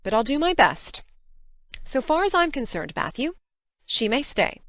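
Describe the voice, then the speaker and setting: Woman acting stern, brisk spinster with lively intonation. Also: sits high, dry recording, studio quality, exaggerated voice. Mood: grudging, firm. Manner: crisp, scolding, no-nonsense. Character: stern, brisk, practical middle-aged spinster farm woman. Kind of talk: audiobook dramatic reading.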